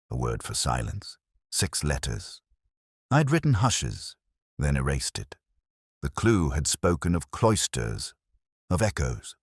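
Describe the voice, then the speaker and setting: Very deep, husky male storyteller. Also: no room sound, studio quality. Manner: measured, brooding, formal. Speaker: narrator. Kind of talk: literary first-person detective story narration.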